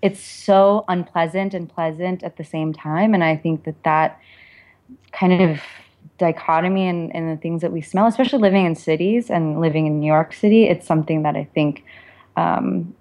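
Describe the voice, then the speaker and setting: A woman speaks in a very low register as an interview guest. She explains her thoughts conversationally, reflecting as she goes in informal language. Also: normal speed, darkish tone. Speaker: author and perfumer, interview guest.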